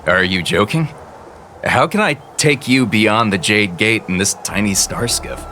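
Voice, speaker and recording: An actor playing an incredulous, put-upon pilot of a small craft in a video game, speaking in protest. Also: male voice, on the low side, expressive, a little slow, pushed and bright, slight background noise.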